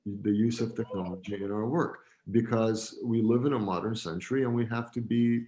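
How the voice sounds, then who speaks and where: Friendly man, lowish voice. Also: normal speed. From Romania. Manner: relaxed, friendly, joking. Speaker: guest, gallery founder. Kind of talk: casual video-call interview. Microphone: video call.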